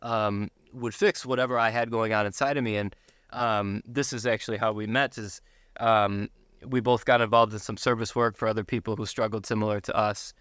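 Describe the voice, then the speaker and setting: An American man talking casually as an interview guest, sharing personal testimony in a halting way, repeating words and checking for agreement. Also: lowish voice, steady tone, a bit bright, smooth, dry recording, studio quality. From Buffalo, United States.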